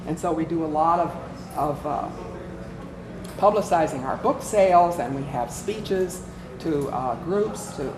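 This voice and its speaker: A woman giving an informal workshop talk as a volunteer presenter, conversational and inclined to tell stories. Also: deep voice. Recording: echoey room with clear background noise.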